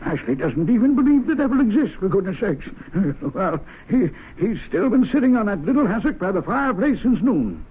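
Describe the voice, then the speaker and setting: A nervous, fussy clubman in an old radio play. His high voice is very husky and jumps about as he stammers, with a tinny broadcast sound. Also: big pitch swings, brisk, hardly any pauses, full character voice. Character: excitable, nervous gentleman of an exclusive club. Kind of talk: radio drama acting.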